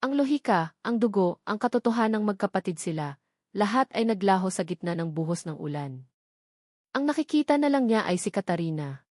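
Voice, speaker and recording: A woman's voice: medium pitch, animated, a little fast, a little mellow, dry recording, studio quality. A woman narrating a romantic drama in an emotive storytelling manner.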